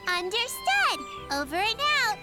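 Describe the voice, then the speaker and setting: A woman's voice: extremely high pitch, huge pitch swings, a little slow, over music, heavily acted. A woman voicing a tiny, cute, eager magical flying creature in a cartoonish video game style. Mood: chirpy, obedient.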